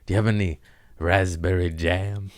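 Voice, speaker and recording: A man hosting a comedy podcast, chatting casually and riffing in slang with deadpan, absurd humor. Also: deep voice, normal speed. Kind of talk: casual joking podcast chat.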